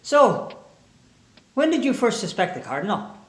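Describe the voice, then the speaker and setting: An actor playing a gruff, harried police inspector with a blunt, exasperated manner in film drama. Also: male voice, sits high, big pitch swings, normal speed, fairly bright tone. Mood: worried, wry. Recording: echoey room with faint music.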